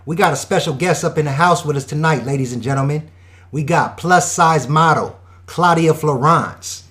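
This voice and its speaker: A man's voice: a little high, normal speed. Hype-talking male radio host. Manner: energetic slangy hype talk with catchphrases.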